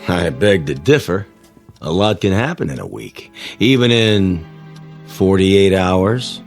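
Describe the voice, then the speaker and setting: A man acting in a radio drama as a sly, suspicious coworker who talks in an insinuating, deliberate, falsely casual way. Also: sits low, expressive, faint music behind, full character voice. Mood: veiled menace. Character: sly, suspicious male coworker probing his neighbours with veiled menace.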